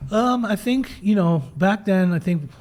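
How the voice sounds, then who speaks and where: Chatty man with a bright lively voice. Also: high voice, unhurried. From Germany, raised in Utah. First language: German. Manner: rambling, frank, frequent restarts. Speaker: podcast guest. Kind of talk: casual storytelling interview.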